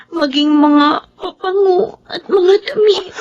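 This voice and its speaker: A woman's voice: very high voice, slow, a little mellow, dull audio. A woman playing a sensitive, easily hurt young daughter in a Filipino radio drama, with a Filipino accent and an emotional delivery. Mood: troubled.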